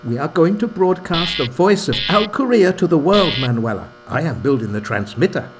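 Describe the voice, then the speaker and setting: A man as excitable earnest villager, theatrical, high-pitched, comedy radio play, music in background. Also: moderate pace.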